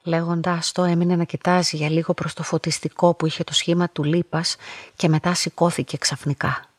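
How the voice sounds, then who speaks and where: A woman's voice: lowish voice, little pitch movement, no room sound, close to an everyday voice. An actress playing a lonely, observant young woman who narrates her own story reflectively in a radio play. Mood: reflective, uneasy. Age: young adult. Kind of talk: first-person literary radio drama narration.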